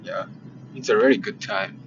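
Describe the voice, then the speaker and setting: Japanese-accented man, young adult, vlog diary; tired, halting, lots of "uh"s; dull recording with steady noise. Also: lowish voice. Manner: hesitant, many fillers and self-corrections. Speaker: vlogger. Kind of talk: casual spoken diary. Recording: muffled lo-fi with background noise.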